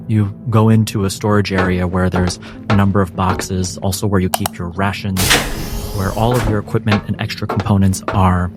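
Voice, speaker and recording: A man narrating a tabletop game as game master in a measured storytelling way. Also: low voice. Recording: music bed under narration.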